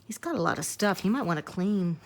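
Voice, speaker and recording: A woman's voice: on the low side, expressive, brisk, a little hiss or hum. A woman reading a rhyming storybook aloud expressively, slipping into casual asides. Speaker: storybook reader.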